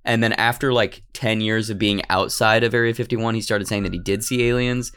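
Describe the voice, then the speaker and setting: Wry podcast host telling a story. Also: male voice, lowish voice, steady tone, fairly quick, fairly bright tone, clear, resonant tone. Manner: wry, rambling, joking.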